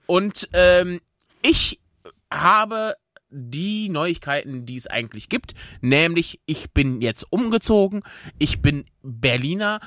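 A man's voice: on the high side, animated, takes it slowly, a bit bright, dry recording, studio quality. A young man raised in North Rhine-Westphalia, hosting a casual podcast, hesitant with many fillers. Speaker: podcast host. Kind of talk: casual chat.

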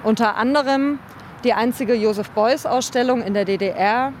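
A woman's voice: fairly high pitch, normal speed. A woman working as a theatre maker and tour guide, explaining things to a group while riding a city train. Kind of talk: guiding a tour. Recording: field recording with train and street noise.

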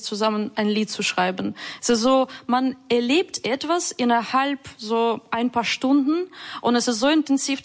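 Woman, fairly high voice. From Ukraine. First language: not German. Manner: enthusiastic, slightly broken German with fillers. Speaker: musician guest. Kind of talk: radio interview.

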